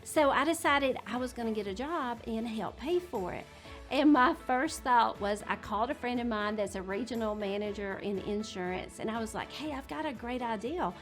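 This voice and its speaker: A woman's voice: a little high, lively intonation, normal speed, faint music behind. A woman in her late forties, a real estate agent, telling her story in a chatty, candid way. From Georgia, USA. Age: 40s. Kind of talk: casual talk to camera.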